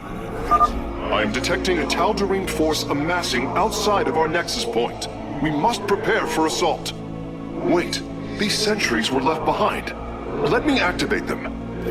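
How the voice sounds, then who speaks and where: A man playing an earnest, precise alien scientist in a video game, speaking in a formal, eager way. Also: sits high. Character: earnest, scholarly male alien engineer. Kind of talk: video game cutscene acting. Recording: loud game music underneath.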